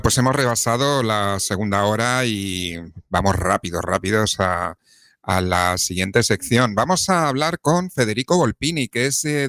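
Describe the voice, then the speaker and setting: A male radio presenter with a medium-pitched voice introduces segments on a live magazine show. He is conversational and a little hesitant, recorded very dry. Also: fairly bright tone, dry recording. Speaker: radio host.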